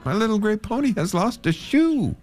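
A man's voice: very animated, normal speed, darkish tone, soft background music. A man reading a children's story, voicing a worried traveler who pleads in a raised, anxious voice. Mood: fretful pleading. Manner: high, anxious, repeating pleas. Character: fretful man who lost his pony's shoe.

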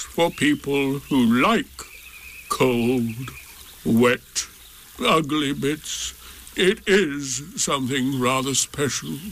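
Old donkey, storybook reading, ponderous, sardonic, very slow, faint background noise. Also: male voice, fairly high pitch, a bit bright, heavily acted. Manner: ponderous, gruff, aggrieved.

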